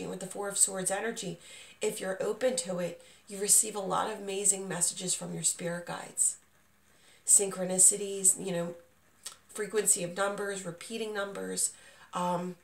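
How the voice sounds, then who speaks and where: A woman's voice: medium pitch, gravelly. A woman giving a casual, unscripted tarot reading, reassuring and speaking straight to the listener, with a voice not at full strength as she gets over being sick. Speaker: tarot reader, channel host. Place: indoors, small dim room at a table. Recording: roomy echo, distant microphone.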